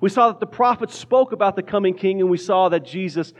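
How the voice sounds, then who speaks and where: High-voiced casual male preacher. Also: brisk. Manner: casual, joking preacher with frequent restarts. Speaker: pastor. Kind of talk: sermon. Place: church sanctuary.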